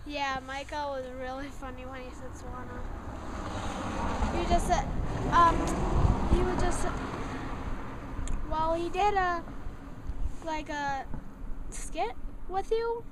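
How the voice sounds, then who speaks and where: High-pitched child, halting, noisy recording. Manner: halting, searching for words. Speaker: young guest reviewing a show. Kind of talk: impromptu casual interview.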